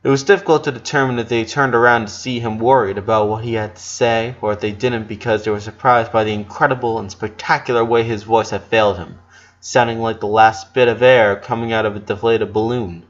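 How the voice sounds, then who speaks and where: A man's voice: on the low side, fairly even intonation, brisk, muffled recording. A man reading fiction aloud as a dramatic narration, occasionally stumbling over a word. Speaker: fan fiction reader.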